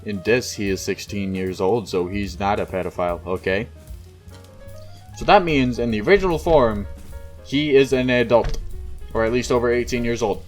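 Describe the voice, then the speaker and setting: A man's voice: lowish voice, normal speed, soft background music. A man doing a casual, mocking read-aloud with exasperated, sweary asides. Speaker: host reading a fan fiction aloud with commentary.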